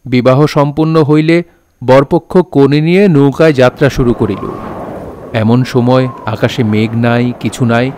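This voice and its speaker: An actor playing a dignified literary storyteller in an audio drama, speaking formally and with measured care. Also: male voice, mid-range voice, steady tone, darkish tone, natural voice. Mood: storytelling. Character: dignified storytelling landlord-poet, gently scolding his village listeners. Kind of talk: Bengali audio drama narration, literary diction.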